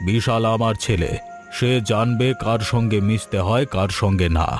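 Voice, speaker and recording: A man's voice: lowish voice, steady tone, normal speed, natural voice. A man voicing a proud, snobbish, wealthy village landowner in a cartoon. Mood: haughty. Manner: haughty. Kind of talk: Bengali cartoon dialogue. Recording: music behind the voice.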